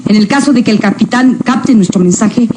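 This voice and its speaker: A woman's voice: on the high side, brisk, noisy background, close to an everyday voice. A woman playing an anguished, dutiful young military astronaut in a radio drama, speaking formally with strain. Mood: pleading, worried. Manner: pleading yet formal and military.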